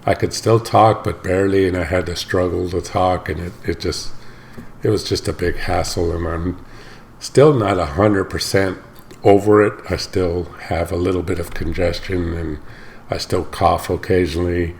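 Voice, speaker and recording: Deep, gravelly, slightly hoarse man. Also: fairly even intonation, moderate pace, slight background noise. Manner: rambling, stops often to correct himself. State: slightly hoarse, recovering from illness. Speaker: reaction channel host. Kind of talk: casual talk to camera.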